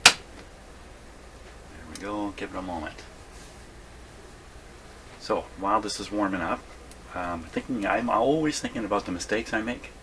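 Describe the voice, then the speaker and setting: A man's voice: fairly low pitch, unhurried, long pauses, noisy background. An older man giving a casual workshop demonstration, thinking aloud in a rambling, self-deprecating, folksy way. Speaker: vintage radio repair hobbyist presenting a video. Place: indoor radio repair workshop.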